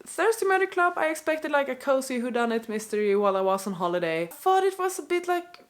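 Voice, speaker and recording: A woman's voice: high voice, very animated, fast. Stuffy-nosed young woman ranting about books. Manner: chatty, apologetic, frequent "like" fillers. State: stuffy from a cold. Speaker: book vlogger. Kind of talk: unscripted casual rant to camera.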